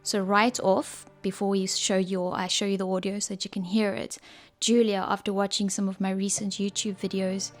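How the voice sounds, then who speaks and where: A woman's voice: medium pitch, flat delivery, moderate pace. A woman casually reviewing desk microphones from a small home room, chatty and a little scatterbrained, still recovering from being ill, talking close to a condenser mic. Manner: chatty, self-correcting, a bit scatterbrained. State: recovering from illness. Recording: mic tests with varying reverb and noise.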